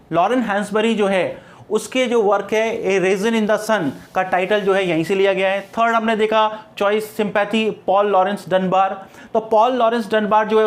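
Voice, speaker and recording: A man with an Indian accent teaching an exam-preparation lesson in a didactic way, repeating key points and often checking in with short tags. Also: high voice. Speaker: English literature lecturer. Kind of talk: exam-preparation lesson mixing explanation and read-out questions.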